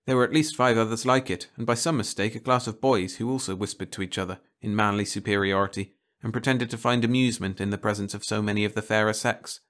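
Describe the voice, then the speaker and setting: A man's voice: on the low side, fairly even intonation, quick pace, few pauses, fairly bright tone. Man narrating a short story. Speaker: audiobook narrator.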